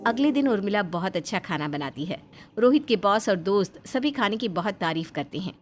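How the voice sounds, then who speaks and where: A woman's voice: medium pitch, lively intonation, a little fast, soft background music. A woman narrator reading a scripted family story.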